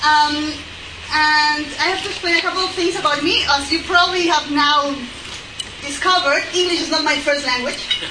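High-voiced Peruvian woman in an echoey hall. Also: fairly even intonation, normal speed. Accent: Peruvian Spanish. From Peru. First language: Spanish. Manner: careful, hesitant, restarts phrases. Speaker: guest speaker, church history lecturer. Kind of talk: public talk. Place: event hall with audience. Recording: echoey room, far mic, noisy.

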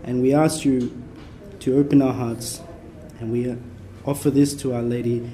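A soft-spoken man from Vietnam, a Capuchin priest, leading a prayer workshop for a small group in a casual way and leaning on his notes. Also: medium pitch, little pitch movement, a little slow, a little hiss or hum. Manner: soft-spoken, relies on notes, chatty asides. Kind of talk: informal prayer workshop talk.

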